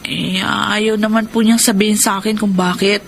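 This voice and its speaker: A woman's voice: medium pitch, steady tone, normal speed, gravelly, background noise, lightly acted. An actress playing an earnest, loving young Filipino girlfriend in a radio drama, speaking in a sincere, concerned way. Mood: worried. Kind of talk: Filipino radio drama acting and letter narration. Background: music.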